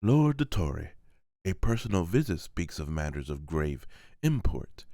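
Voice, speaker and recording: A man's voice: low-pitched, very animated, unhurried, mellow, no echo, clean studio sound, heavily acted. A man voicing a composed, deferential seneschal in an amateur manga voice-over, polite and careful in his formal speech. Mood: composed deference. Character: deferential, composed male seneschal, dutiful and discreet.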